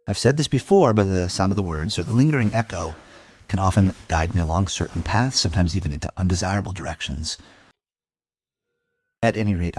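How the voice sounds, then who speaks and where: An actor playing a brooding, persistent crime investigator who narrates and questions in a measured, reflective way in an audio drama. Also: male voice, sits low, a little mellow, slightly rough.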